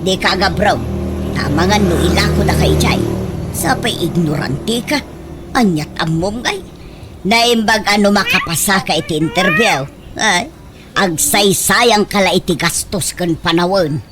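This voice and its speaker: A woman's voice: lowish voice, lively intonation, unhurried. A woman playing a harsh, belittling middle-aged mother in a comedic radio drama, scolding in a scornful, nagging way. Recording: loud background noise.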